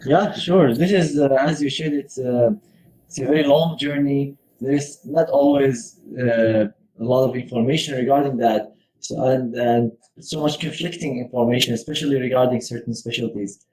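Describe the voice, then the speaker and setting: A young man from Syria, a medical research fellow, giving advice in a relaxed talk with many fillers and restarts. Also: mid-range voice, normal speed. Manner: hesitant, with frequent fillers and restarts. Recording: echoey room.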